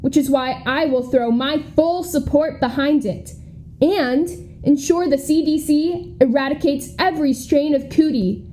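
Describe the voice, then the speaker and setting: A woman's voice: sits high, unhurried, warm tone. A young American woman playing an earnest, polished schoolgirl running for student president, speaking like a formal politician in a comedy radio play. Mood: righteous. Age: young adult. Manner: formal, rhetorical politician delivery.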